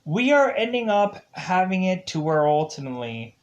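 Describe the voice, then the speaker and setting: A man reviewing episodes in a casual, rambling way, halting often and circling back over his phrases. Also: high-pitched, sing-song, muffled recording.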